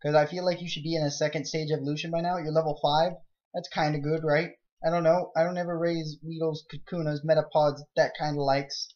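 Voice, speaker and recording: Young guy rambling over his game, kinda high voice, jokey, yelps when stuff happens, dull lo-fi mic. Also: brisk. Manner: rambling, jokey, excitable outbursts. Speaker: let's play gamer. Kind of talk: casual game commentary.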